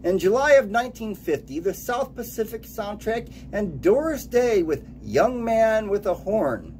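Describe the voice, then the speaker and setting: A man in his late forties giving an upbeat radio DJ countdown, announcing titles and years in a lively way. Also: high voice, measured pace, slight background noise. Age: late 40s.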